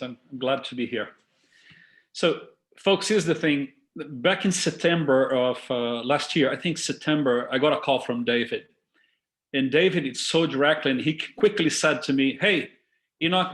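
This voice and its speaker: A man from Brazil whose first language is Portuguese, speaking English with a Brazilian Portuguese accent, giving a lively, engaging talk to a group on a video call. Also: medium pitch. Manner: lively and engaging, addresses listeners as folks. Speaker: guest speaker.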